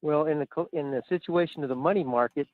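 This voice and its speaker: A man calling in to argue his case in measured, formal, legalistic wording. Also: fairly high pitch, no echo. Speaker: phone-in caller. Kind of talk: argumentative explanation. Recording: narrow tinny phone line.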